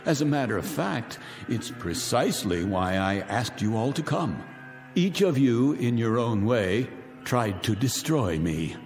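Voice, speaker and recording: A man's voice: medium pitch, very animated, a little slow, long reverb tail, soft background music. An actor playing a scornful man who taunts his captives in a radio drama, with a mocking, theatrical manner. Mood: commanding.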